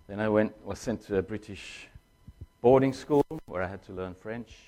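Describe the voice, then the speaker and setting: An older man with a Danish accent, a guest speaker telling his testimony in a conversational sermon and slowing down to read scripture. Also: on the low side, warm tone, low-quality recording. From born in China to Danish parents, raised in Tanzania.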